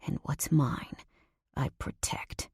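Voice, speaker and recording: A woman voicing a menacing, possessive, controlling grown man in an audiobook, speaking in a low hushed half-whisper. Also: slow, dry recording, studio quality, natural voice.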